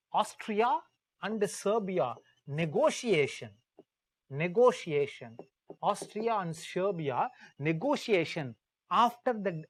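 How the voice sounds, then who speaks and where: An Indian-accented male history teacher with a fairly high voice and a dry, close sound. He lectures in a storytelling way, hammering key phrases by repeating them and quizzing his students as he goes. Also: no room sound. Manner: puts questions to the class. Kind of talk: live classroom lecture told as dramatized story.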